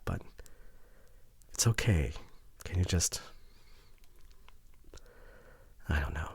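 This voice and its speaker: A man playing a remorseful, devoted boyfriend in an intimate ASMR audio drama, with a breathy voice that often drops toward a whisper and an earnest, halting delivery. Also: low voice, warm tone, no echo. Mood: tender, vulnerable. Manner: emotional, earnest, halting with sighs. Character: remorseful, devoted boyfriend pleading to repair relationship.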